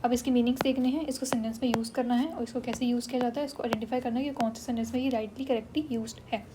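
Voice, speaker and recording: A woman's voice: on the high side, steady tone, racing, background noise. A woman with an Indian English accent teaching English vocabulary in mixed Hindi and English, checking in often with her students. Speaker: English exam tutor. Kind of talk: Hinglish vocabulary lesson.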